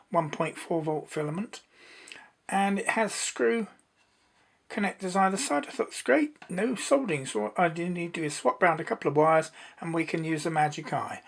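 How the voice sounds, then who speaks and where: The voice sits at a middle pitch and belongs to someone presenting a hands-on electronics demonstration. The delivery is informal and unhurried. Each step is explained plainly as it is shown. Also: husky.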